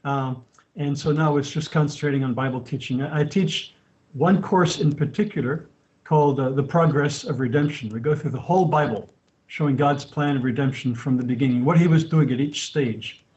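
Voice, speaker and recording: A man's voice: medium pitch, moderate pace. A man in his sixties who grew up in France, a missionary answering interview questions steadily with many restarts. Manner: steady, with frequent restarts and fillers. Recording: dull lo-fi sound.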